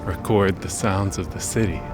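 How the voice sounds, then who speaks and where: A man's voice: deep voice, moderate pace, loud music behind the voice. A man, a podcast host, musing in an unsure, self-doubting way about his task. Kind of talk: casual personal reflection.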